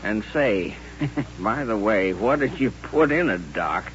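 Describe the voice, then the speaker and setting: Western radio sidekick: American, rustic, eager, plain-talking, lowish pitch. Muffled old-time sound. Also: male voice, expressive, slow, fairly bright tone. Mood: amused, folksy. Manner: rustic, folksy drawling grammar. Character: plain-spoken male frontier sidekick, folksy and eager. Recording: dull, faintly noisy old radio recording.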